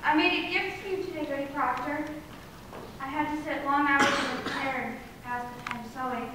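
A woman's voice: high voice, steady tone, moderate pace, natural voice. A young woman acting an anxious, self-important eighteen-year-old servant girl in a period stage play, with dramatic, emphatic delivery. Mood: meek, weary. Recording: echoey room, distant mic, faint noise.

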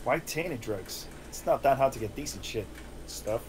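A man's voice: medium pitch, lively intonation, normal speed, natural voice. Man acting probing detective, slight noise. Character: probing, insistent male police detective. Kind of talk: script table read.

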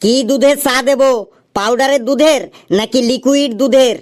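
A woman's voice: sits high, steady tone, moderate pace, darkish tone, close to an everyday voice. A sly cartoon shopkeeper, acted by a woman. He is stubborn and teasing, drawing out each question with mock patience to needle his listener. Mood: teasing, needling. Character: sly, teasing male tea-stall owner, stubbornly needling. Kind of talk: exaggerated comic cartoon dialogue.

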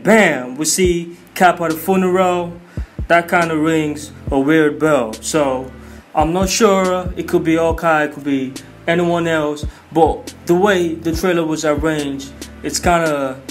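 A man hosting a video, giving hyped, slangy commentary on a movie trailer. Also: on the high side. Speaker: video host. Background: music.

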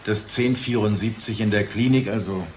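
A man's voice: on the low side, moderate pace, darkish tone, slight background noise, lightly acted. An actor playing an authoritative, probing male research boss in conversational radio drama. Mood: probing, patronizing.

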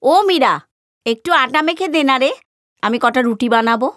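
A woman's voice: high voice, lively intonation, measured pace, no room sound, clean studio sound. A woman voicing a hardworking widowed mother in a cartoon story, scolding but tender. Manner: scolding, then gentle.

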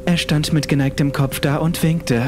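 A man's voice: a little high, steady tone, normal speed, background noise. A man reading a scripted horror story as the narrator of an audiobook. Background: music.

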